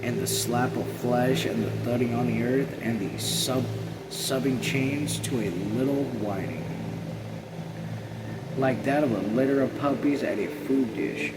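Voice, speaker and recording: A man reading a novel aloud as an amateur, haltingly, often rereading and correcting himself. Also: medium pitch, steady tone, very noisy. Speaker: amateur reader.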